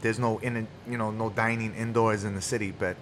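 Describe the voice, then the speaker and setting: Animated New guy chatting. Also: fairly low pitch, brisk, a little hiss or hum. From New York City. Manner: animated, loose street slang. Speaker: podcast co-host. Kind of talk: casual chat.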